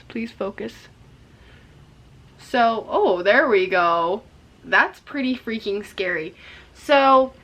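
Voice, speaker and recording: A young woman giving a chatty, rambling makeup tutorial, her voice a little hoarse from a cold. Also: on the high side, fairly bright tone. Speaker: makeup vlogger.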